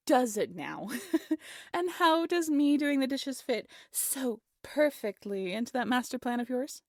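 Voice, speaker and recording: Expressive, high-voiced young woman played by an actress. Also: no echo, studio quality. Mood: playful teasing. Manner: playful, teasing, expressive. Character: cheerful, affectionate young woman on a birthday date. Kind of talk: intimate roleplay audio drama.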